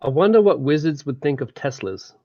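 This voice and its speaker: An Australian man co-hosting a fan podcast, chatting casually about books in a halting, hesitant way. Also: on the high side, sing-song, warm tone, no echo, dull audio. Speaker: podcast co-host. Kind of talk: casual book chat.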